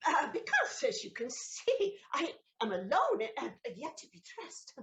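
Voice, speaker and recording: A flustered, stammering noble countess. Her voice is high and swoops widely in pitch, trembling between tenderness and panic. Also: wildly swooping pitch, measured pace, fairly bright tone, full character voice. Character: flustered noble countess, anxious to hide secrets. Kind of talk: stage audio play acting.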